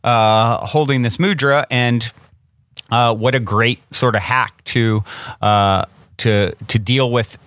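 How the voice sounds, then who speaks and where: A man's voice: mid-range voice, steady tone, slow pace, fairly bright tone, no echo, studio quality. A man in his forties hosting a podcast, chatting in an eager, casual way, full of fillers and restarts. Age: 40s. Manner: enthusiastic, with many fillers and restarts. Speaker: podcast host. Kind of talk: casual interview chat.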